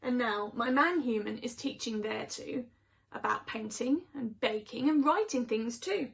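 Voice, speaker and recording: Opinionated house cat for rhyming storybook, played by a woman: high voice, conversational, gossipy, expressive. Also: a little slow, creaky. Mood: chatty, opinionated. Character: chatty, opinionated house cats gossiping about their humans.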